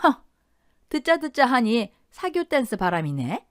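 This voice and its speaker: A woman's voice: fairly high pitch, sing-song, measured pace, no echo, clean studio sound. A woman voicing a fierce, vengeful older wife, biting and emphatic, in an audio drama. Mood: scornful.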